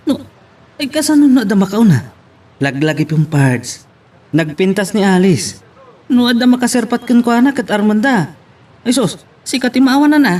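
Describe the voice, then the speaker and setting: A man's voice: sits high, very animated, normal speed, pauses often, darkish tone. A man with an Ilocano accent playing a jovial, teasing best friend in an amateur audio drama. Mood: teasing, urging. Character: jovial, teasing male best buddy giving love advice.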